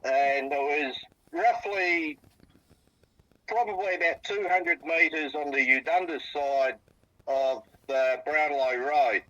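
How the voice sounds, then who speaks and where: An Australian man tells his story over a phone line. His voice is very bright and sharp. He stops and starts, often repeating words as he goes. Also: a little high, no echo. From South Australia. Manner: halting, repeats words while recounting. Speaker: interviewee, eyewitness. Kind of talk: phone interview. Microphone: phone on speaker.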